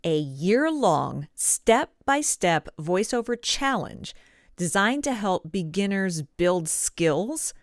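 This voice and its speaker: A woman hosting an instructional video as a voice coach, conversational, encouraging and clearly articulated. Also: mid-range voice, very animated, takes it slowly, no room sound, clean studio sound. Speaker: voice actor and coach, video host. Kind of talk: friendly instructional pitch to camera.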